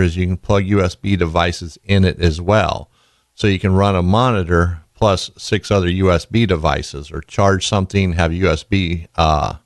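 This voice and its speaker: A hoarse man with a raspy voice, hosting a podcast in a chatty, rambling way. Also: sits low, no room sound, studio quality. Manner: chatty, rambling, with self-corrections. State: hoarse from illness. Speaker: podcast host. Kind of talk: casual product talk.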